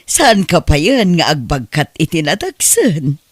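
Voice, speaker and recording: A woman's voice: sits low, sing-song, measured pace, dry recording, clean studio sound, heavily acted. A woman playing a kindly, sympathetic madam in an Ilocano audio drama, with an Ilocano accent.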